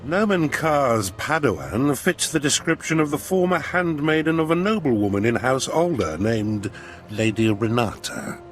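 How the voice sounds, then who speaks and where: An actor playing a haughty, scheming Sith duke in a video game, with a pompous, formal, slippery manner. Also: male voice, mid-range voice. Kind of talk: video game cutscene acting.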